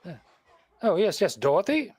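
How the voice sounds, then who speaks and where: An actor playing a suave, wisecracking married detective, breezy and teasing, in a 1930s radio style. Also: male voice, high voice, very animated, moderate pace, a little mellow, breathy edge, no room sound, clean studio sound, full character voice. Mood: affable.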